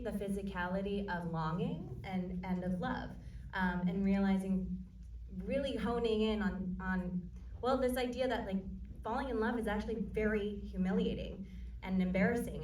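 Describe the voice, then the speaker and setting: A woman, an actor at a screening Q&A, speaking in a playful, teasing and animated way in a cinema auditorium. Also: medium pitch. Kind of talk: unscripted Q&A answers. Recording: echoey room, distant mic, faint noise.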